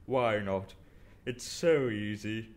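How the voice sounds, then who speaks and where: A man's voice: lowish voice, takes it slowly, darkish tone. A man playing an arrogant, brilliant, easily bored detective in an audio drama, with a dismissive, clipped and condescending manner.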